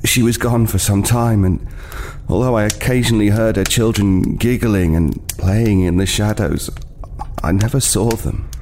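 Man acting haunted, halting homeless man, low voice. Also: darkish tone, slight background noise, natural voice. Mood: uneasy, ominous. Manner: hesitant, halting, haunted, with repeated words. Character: weary, wary homeless man recounting a frightening night. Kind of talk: dramatic first-person storytelling in an audio play.

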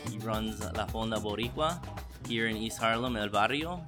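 A man hosting an interview podcast in a conversational style, with somewhat halting phrasing. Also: lowish voice, music in the background.

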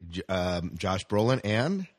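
A man's voice: sits low, sing-song, unhurried. An American man hosting a podcast, chatting casually about science fiction over an internet call. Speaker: podcast host. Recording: roomy internet call recording.

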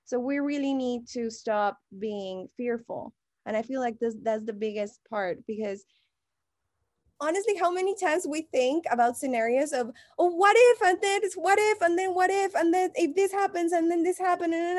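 A woman from Mexico with a Mexican Spanish accent, a guest on a podcast, talking casually in a perky, animated way. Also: very high-pitched, dry recording, studio quality. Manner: perky, animated, laughs often. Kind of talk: casual conversation.

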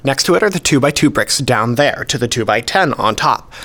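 A man's voice: mid-range voice, lively intonation, brisk, a bit bright. An actor playing a modest, precise male hobbyist collector, explaining things methodically in a radio play. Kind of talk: scripted dialogue, explaining.

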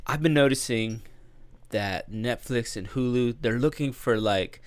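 A man's voice: mid-range voice, a little slow, smooth. A man joking crudely in casual comedy banter, swearing and stumbling over his words.

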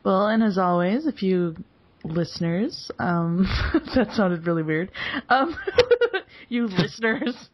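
A woman's voice: mid-range voice, lively intonation, slow, no room sound. A woman hosting a casual podcast chat, laughing often between lines. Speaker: podcast host. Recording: dull lo-fi recording.

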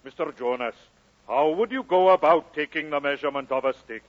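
An actor playing a courtly but menacing prizefighter, talking with deliberate mock courtesy in an old radio drama. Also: male voice, fairly high pitch, natural voice. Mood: mock-polite. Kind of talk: 1940s-50s radio drama acting. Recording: dull old radio recording.

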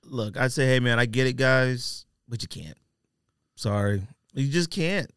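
A man's voice: mid-range voice, animated, a little slow, a bit bright, clean tone, no echo, clean studio sound. An American man chatting casually about sports as a podcast co-host, emphatic and prone to repeating himself.

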